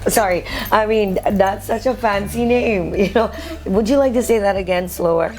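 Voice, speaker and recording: A woman with a medium-pitched voice hosts a casual interview podcast. She talks expressively, with a teasing, playful manner. Music plays faintly behind her. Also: normal speed, a little mellow. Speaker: podcast host; preacher.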